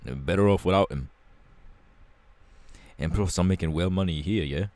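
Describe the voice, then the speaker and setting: Man playing a low-voiced punk rebel, close-up. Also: moderate pace, a little mellow, no room sound, lightly acted. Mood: irritated, defiant. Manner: slangy, casual, drawling with hesitations. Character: laid-back rebellious young British punk superhero bassist, irritable. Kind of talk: ASMR audio roleplay.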